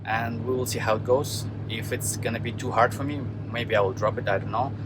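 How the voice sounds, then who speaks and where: Russian-accented man, halting, loud truck noise. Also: mid-range voice, steady tone. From Kazakhstan. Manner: halting, searching for words in a second language. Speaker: truck driver vlogger. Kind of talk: casual vlog narration. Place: at a truck yard and in a truck. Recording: loud vehicle and outdoor noise.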